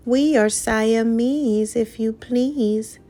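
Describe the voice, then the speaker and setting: A woman voicing mischievous Siamese cats in a children's storybook, with a sly, slow, singsong delivery. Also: on the high side, mellow, faint music behind, pitched above the performer's own voice. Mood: sly, smug.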